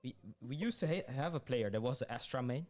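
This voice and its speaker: A man's voice: medium pitch, animated, a little fast, a little mellow. A man hosting a student podcast interview, casual and hesitant, with lots of "like" and "uh". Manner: hesitant, many "like" and "uh" fillers.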